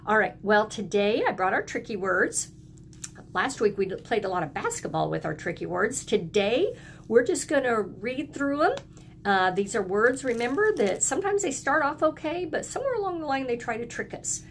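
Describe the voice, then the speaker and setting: A woman's voice: on the high side, big pitch swings, moderate pace, slight background noise. A woman teacher giving a lesson to young children, encouraging them in simple phrases and prompting them to repeat after her. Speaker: early elementary school teacher.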